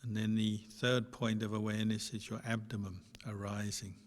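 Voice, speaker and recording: A man teaching as a meditation guide, giving gentle, unhurried instructions with long pauses between short phrases. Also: fairly low pitch, little pitch movement. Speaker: Buddhist monk and meditation teacher. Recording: long hall reverb.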